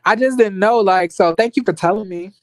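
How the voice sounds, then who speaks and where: A man with an American accent chatting casually as a livestream host, in a sassy, expressive, slangy conversational way. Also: high-pitched, a little fast, no echo. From United States, born in rural Florida and raised in Las Vegas. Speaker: YouTube reviewer and livestream host. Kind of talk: casual livestream chat with viewers.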